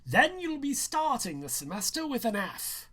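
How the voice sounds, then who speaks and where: A man voicing a strict, mocking male high school teacher in an audiobook, with curt, drawn-out emphasis. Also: big pitch swings, a bit bright, exaggerated voice. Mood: stern.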